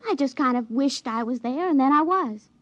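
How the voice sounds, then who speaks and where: A woman's voice: high-pitched, lively intonation, moderate pace, no room sound. An actress playing a folksy, stubborn young country woman with a rural American accent and a plain-spoken drawl, in an old-time radio drama. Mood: pleased, matter-of-fact. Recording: muffled vintage radio recording.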